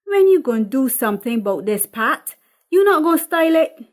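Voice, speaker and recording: A woman's voice: high voice, very animated, normal speed, voice pushed up. Woman acting brash, nagging older mother. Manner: nagging, dismissive, colloquial. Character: brash, teasing sixty-year-old Caribbean mother.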